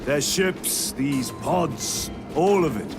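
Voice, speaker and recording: Game role: grave armored veteran officer, clipped formal commands, slightly high for a man, heavy score behind. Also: animated, very slow. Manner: terse, formal, authoritative. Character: stern veteran armored super-soldier lieutenant, commanding. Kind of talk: dramatic video game acting. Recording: loud music and battle din behind.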